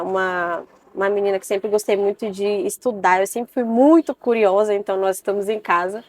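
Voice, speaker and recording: A woman in her twenties from Salvador, Brazil, talking earnestly as a podcast guest and often pausing and adding "né". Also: mid-range voice, a little mellow. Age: 20s. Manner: earnest, with many hesitations and "né" tags. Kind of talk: casual interview chat.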